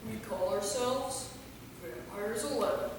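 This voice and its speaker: A woman's voice: medium pitch, a little slow, lightly acted. A woman playing a cocky, goofy immortal vampire troublemaker in a staged reading, joking and slangy. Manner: joking, slangy, self-amused. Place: theater stage, recorded from a distance. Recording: very echoey hall, far mic, noisy.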